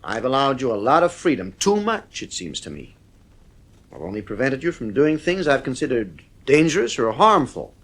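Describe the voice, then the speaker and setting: A stern, worried father in a vintage radio drama. His medium-pitched voice is firm and clipped, with big swings of intonation. He sounds exasperated and authoritative, a middle-aged man weary of his household. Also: sing-song, normal speed. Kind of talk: 1950s radio drama acting.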